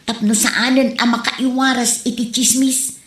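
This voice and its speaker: Gossipy, skeptical neighbor, female actor, slightly high, Ilocano Filipino accent, comedy drama, echoing room. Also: steady tone, measured pace, a bit bright.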